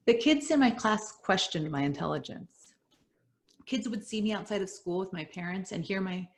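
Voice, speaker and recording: A middle-aged woman whose first language is Spanish, reading her personal story in an earnest, measured way that drops softly at the ends of lines. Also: fairly low pitch, vocal fry. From United States. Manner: earnest, measured, dropping soft at line ends. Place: home, virtual event.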